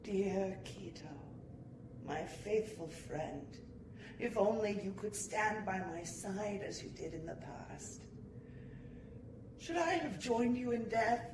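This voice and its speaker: Lady acting devout gothic heroine, theatrical n pleading, echoey and far from the mic. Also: medium pitch. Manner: slow, solemn, theatrical. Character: devout, anguished woman praying to a departed companion. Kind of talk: gothic audio drama monologue. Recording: distant, echoey, noisy amateur recording.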